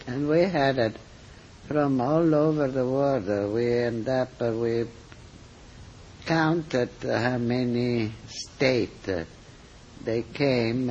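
An older man with an Italian accent, a cooking teacher telling stories in an interview, halting and full of fillers and restarts. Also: medium pitch, a little mellow, a little hiss or hum. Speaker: cooking school teacher, guest. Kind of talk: interview answers, storytelling. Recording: slightly dull, muffled recording.

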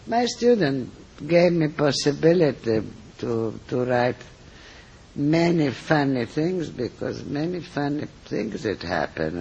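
Older italian guy, really slow, lots of uh and restarts, kinda muffled audio. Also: mid-range voice, creaky, slight background noise. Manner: halting, many fillers and restarts. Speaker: cooking school teacher, guest. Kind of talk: interview answers, storytelling. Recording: slightly dull, muffled recording.

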